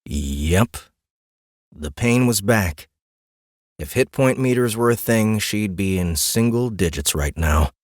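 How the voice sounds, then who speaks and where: A man's voice: sits low, no room sound, clean studio sound. A man narrating a fantasy novel as an audiobook, reading scripted story prose.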